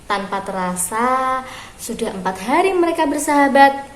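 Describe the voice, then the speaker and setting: A woman reading a story aloud for young children, phrasing expressively and deliberately with pauses. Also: sits high, lively intonation. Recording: roomy echo with clear background noise.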